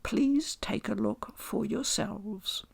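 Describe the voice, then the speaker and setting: A woman reading a scripted game review voice-over in a measured, articulate and deliberate way. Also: a little high, gravelly, husky. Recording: home voice-over with music underneath. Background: game music.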